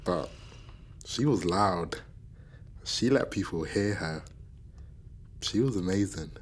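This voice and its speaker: A performer with a British accent playing a grieving, searching young British-Jamaican in a radio play, husky and hesitant. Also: low-pitched, lively intonation, slow, exaggerated voice. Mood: tender regret. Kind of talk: naturalistic radio play acting.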